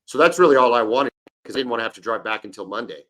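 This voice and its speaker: A man's voice: medium pitch, expressive, rapid-fire, fairly bright tone. A man in his forties co-hosting a sports podcast, an eager storyteller who often jumps in and cuts across his partner. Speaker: podcast co-host. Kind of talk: casual sports chat.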